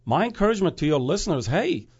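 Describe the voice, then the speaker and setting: A man's voice: fairly high pitch, big pitch swings, quick pace, slightly rough, muffled recording. A man who grew up in Iraq, a guest speaking about prophecy in an earnest, preaching way, often restarting phrases and rising to emphatic peaks.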